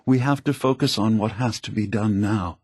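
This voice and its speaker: A weary male synth leader from a video game, grave and idealistic. He speaks in a low, husky voice with slow-weighed, deliberate phrasing. The recording is close and dry, with a sorrowful, thoughtful temper. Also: a little mellow, dry recording. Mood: pleading, remorseful.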